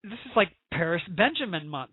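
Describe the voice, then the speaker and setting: A high-pitched American man hosts a chatty interview in a gushing, theatrical manner. He teases as he goes, and the sound is dry, thin and phone-like. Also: very animated, fairly quick, husky, dry recording. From United States. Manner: effusive, theatrical, teasing. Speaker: talk show host. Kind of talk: casual celebrity interview. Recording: tinny, phone-like narrow-band audio.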